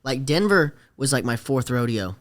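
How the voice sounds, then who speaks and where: A young man talks easily as a guest on a podcast, his voice sitting at an ordinary middle pitch. He drifts into softer tones as each thought winds down, keeping the whole exchange relaxed. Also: normal speed. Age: young adult. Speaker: podcast guest, rodeo rider. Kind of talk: casual interview chat.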